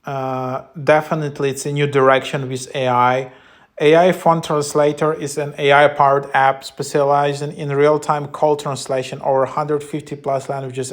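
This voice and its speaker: A man giving a casual, loosely improvised app walkthrough as a tech vlogger, with halting phrasing. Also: mid-range voice. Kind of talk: casual app walkthrough, partly reading descriptions aloud.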